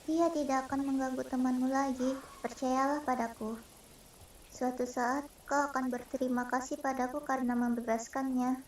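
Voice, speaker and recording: A woman's voice: sits high, level tone, moderate pace, a little hiss or hum, close to an everyday voice. A woman voicing an earnest female spirit plotting to free her kind in a comic dub, recorded in a roomy space. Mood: earnest, reassuring. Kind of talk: Indonesian comic dub acting. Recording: roomy, distant microphone.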